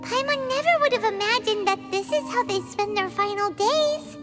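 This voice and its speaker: A woman's voice: very high voice, moderate pace, music in the background. A woman voicing a tiny, chatty fairy-like girl companion in a video game, who talks about herself in the third person. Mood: somber.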